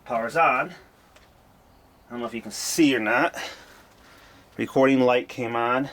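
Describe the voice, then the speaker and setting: A man showing off an old tape machine in a casual walkthrough, speaking in an unhurried way and thinking aloud as he goes. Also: medium pitch, a bit bright, slight background noise. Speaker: hobbyist showing a tape recorder.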